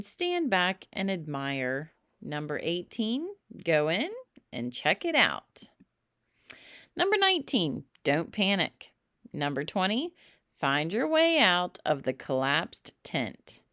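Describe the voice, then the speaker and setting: A woman reading a children's story aloud in an expressive narrating style, with emphatic, dramatic phrasing. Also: mid-range voice, clear, resonant tone, no room sound, studio quality. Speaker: storybook reader.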